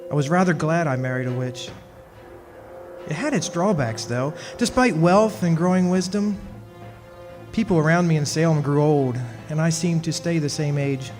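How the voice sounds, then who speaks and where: An actor playing a wry, centuries-old henpecked husband of a witch in a radio play, telling his story in measured dramatic style. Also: fairly high pitch, natural voice. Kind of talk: dramatic first-person radio play narration. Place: stage performance in a community club hall. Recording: echoey hall, music behind.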